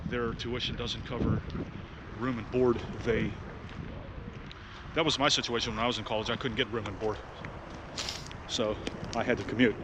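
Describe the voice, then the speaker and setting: A man's voice: medium pitch, little pitch movement, normal speed, long pauses. A man in his forties with a head cold, walking a city street at night and talking bluntly and profanely into a handheld phone camera. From Pittsburgh, United States. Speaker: vlogger. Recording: street noise behind the voice.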